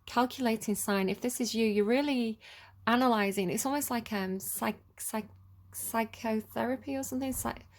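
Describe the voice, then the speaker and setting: A woman's voice: a little high, moderate pace. A woman with a Manchester accent giving a casual, chatty tarot reading to viewers, informal and hesitant as she thinks aloud. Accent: Manchester English. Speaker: tarot reader, channel host.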